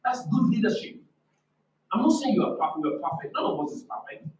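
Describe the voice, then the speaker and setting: A man with a loud, forceful voice giving an emphatic, didactic motivational talk at a conference, repeating key phrases and asking rhetorical questions. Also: high-pitched, wildly swooping pitch, fairly quick. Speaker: conference speaker on leadership. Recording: echoey room, distant microphone.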